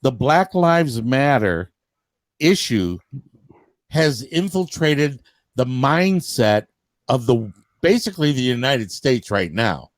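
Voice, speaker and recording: A man's voice: medium pitch, big pitch swings, takes it slowly, dry recording. A man hosting a casual group debate, pushing back with pointed questions. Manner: challenging, questioning.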